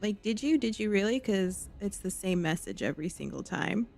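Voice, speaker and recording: A woman's voice: medium pitch, animated, moderate pace, gravelly, a little mellow. A woman chatting casually while livestreaming a game, talking off the cuff to viewers. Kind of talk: casual chat while gaming. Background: game music.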